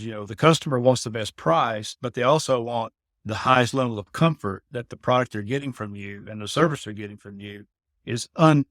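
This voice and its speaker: A man's voice: mid-range voice, fairly bright tone, dry recording. Middle-aged guy telling how his industry works, keeps repeating words, dry close sound. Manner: repeats words as he thinks, often asks "you know what I mean?". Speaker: guest, building automation integrator veteran. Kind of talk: casual explanatory conversation.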